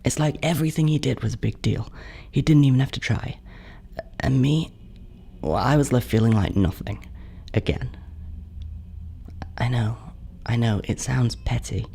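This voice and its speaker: A man playing a bitter, neglected older sibling in a horror monologue, hushed and confessional, often slipping into whispers. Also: mid-range voice, normal speed, gravelly, pauses often, breathy edge. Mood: seething, jealous.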